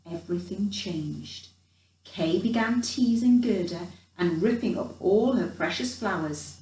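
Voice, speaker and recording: Echoey, animated woman reading a children's story. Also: mid-range voice. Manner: theatrical, dramatic emphasis, chatty asides to young listeners. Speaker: children's storyteller in character as a Christmas elf. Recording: roomy echo, distant from the mic.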